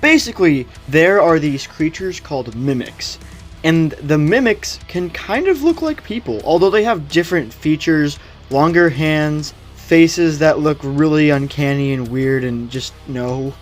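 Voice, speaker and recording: Higher-voiced man giving casual video commentary. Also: unhurried. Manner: opinionated, conversational. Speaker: video host. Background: background music.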